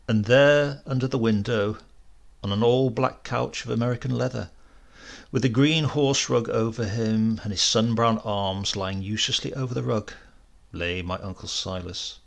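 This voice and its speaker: Male audiobook reader, English accent, mid-pitched with a gravelly creak, measured and expressive literary narration.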